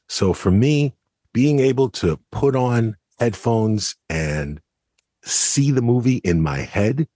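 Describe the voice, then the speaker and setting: A middle-aged American man with a husky voice, giving conversational commentary as a podcast co-host. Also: deep voice, darkish tone, dry recording, clean studio sound.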